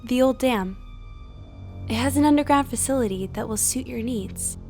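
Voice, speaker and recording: An earnest, idealistic therapist in a cartoon, acted by a woman. Her voice is fairly high, with formal, carefully articulated phrasing. She sounds composed and firm, with faint music behind her. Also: moderate pace, darkish tone, lightly acted. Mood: calm, firm. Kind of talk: animated film voice acting.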